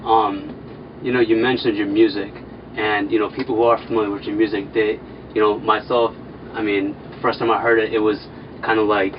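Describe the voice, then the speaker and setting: Fast, easygoing young male interviewer, rambling, muffled lo-fi street recording. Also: on the low side, level tone. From American Midwest. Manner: laid-back, loose slang, rambling questions. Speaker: interviewer, nonprofit president. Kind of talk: casual interview. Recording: distant, dull, noisy.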